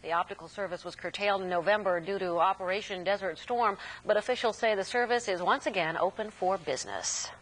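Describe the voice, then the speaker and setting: An American woman working as a news anchor, reading scripted news in a formal, measured announcer delivery. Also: medium pitch, a bit bright, low-quality recording. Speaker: military network news anchor.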